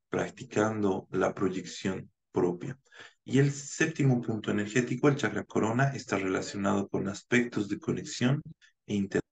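A man in his thirties from Bolivia, a naturopath giving a measured online conference lecture over a webcam, with frequent hesitation fillers. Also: lowish voice, creaky. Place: home room, indoors. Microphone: video call webcam.

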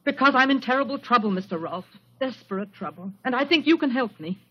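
An actress playing an earnest, desperate young woman in 1940s radio style, with a husky voice. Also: fairly high pitch, lively intonation, quick pace. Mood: urgent. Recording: muffled old radio broadcast.

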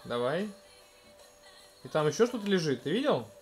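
A man in his thirties casually commenting on a video game in a gentle, coaxing way, talking to a small child beside him at home. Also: on the high side, sing-song, moderate pace, soft background music. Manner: gentle, coaxing, talks to the child, narrates what is on screen. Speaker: let's-play host playing with his toddler.

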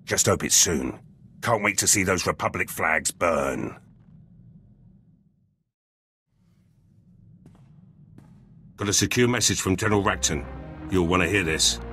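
An actor playing a cocky, battle-hungry Imperial lieutenant in a video game, talking in gruff, clipped, terse phrases. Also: male voice, low voice, moderate pace, sharp tone, faint music behind. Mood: eager.

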